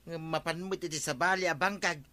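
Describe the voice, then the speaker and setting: A man's voice: a little high, steady tone, a little fast, pushed and bright. A man with an Ilocano accent playing a nagging farmer husband in a radio comedy drama. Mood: chiding. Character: nagging farmer husband, fond of his fields.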